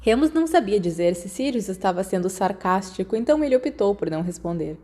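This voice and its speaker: A woman's voice: medium pitch, lively intonation, fast, dark tone. A woman with a Brazilian accent reading fiction aloud in a scripted storytelling narration. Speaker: audiobook reader.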